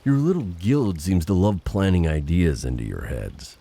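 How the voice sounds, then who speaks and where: A very low, raspy male monster acted by a man for an audio drama. He is vengeful and reckless, delivering formal threats that swing widely in pitch. His menace is bitter and deliberate. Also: very animated, mellow, full character voice. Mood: cold menace. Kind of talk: dramatic audio roleplay acting.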